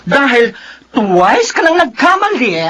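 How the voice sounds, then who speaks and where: A woman's voice: sits high, very animated, slow pace, a bit bright, heavily acted. A woman voicing a sassy, flamboyant cousin in a radio drama, with a Filipino accent and a campy, exclamatory manner. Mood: sassy scolding.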